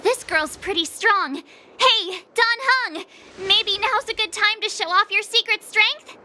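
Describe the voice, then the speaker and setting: A woman's voice: extremely high pitch, slow, bright, forceful tone, a little hiss or hum, heavily acted. An actress playing a perky, cheeky teenage girl adventurer in a video game, bubbly, teasing and easily annoyed. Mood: playful. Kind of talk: English game voice acting.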